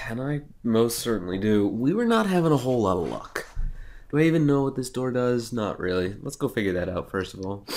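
Sarcastic young man with a cold. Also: medium pitch. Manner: sarcastic, self-mocking, swears. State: has a cold, nasal and sniffly. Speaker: let's play host. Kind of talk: casual gameplay commentary.

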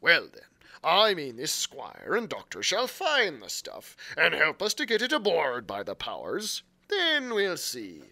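A man voicing a cunning old male pirate quartermaster in a loud, rolling pirate drawl. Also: fairly high pitch, wildly swooping pitch, a little slow, creaky, full character voice. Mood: scheming.

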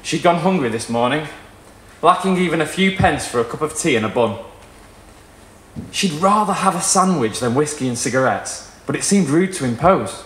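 A young man giving an expressive, theatrical live reading of literary fiction. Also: a little high, normal speed, a little hiss or hum. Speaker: story reader. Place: small indoor performance room with wooden wall. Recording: roomy live venue sound.

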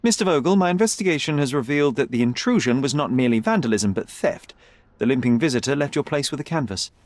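A man's voice: mid-range voice, animated, quick pace, no echo, lightly acted. A man playing a young, brilliant detective in a video game, cool, rational and precise, with a slightly haughty manner. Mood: assertive, dismissive. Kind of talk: video game cutscene acting.

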